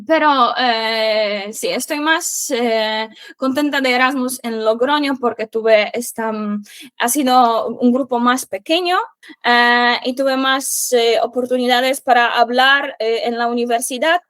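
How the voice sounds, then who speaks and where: A young woman with a Polish accent, her first language Polish, answers interview questions slowly, stopping often to hunt for the next word. Her voice sits a little high and the recording is dry and close, with no room sound. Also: fairly bright tone, smooth, no room sound. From Poland. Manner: halting, pausing often to search for words. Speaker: guest, Spanish teacher.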